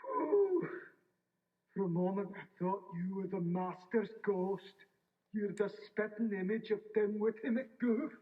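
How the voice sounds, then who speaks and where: Jittery polite castle butler, high voice, storybook tale, thin tinny tape. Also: male voice, expressive, a little slow, far from the mic. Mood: relieved. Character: nervous, polite male castle servant on the moors. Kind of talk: children's storybook audio acting. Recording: tinny band-limited toy tape.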